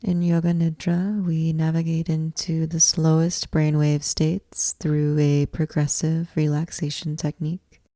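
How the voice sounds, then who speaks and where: A woman teaching yoga nidra, guiding a meditation in measured, deliberate phrases with long pauses between them. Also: low voice, mellow, dry recording. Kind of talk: guided meditation, slow instructional narration.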